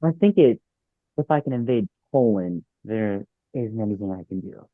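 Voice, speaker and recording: A man's voice: on the low side, lively intonation, normal speed, dry recording. Man acting a sarcastic joker, tinny phone-like sound. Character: sarcastic, joking young office worker. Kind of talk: table read of a sitcom script. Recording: thin phone-like line, dry.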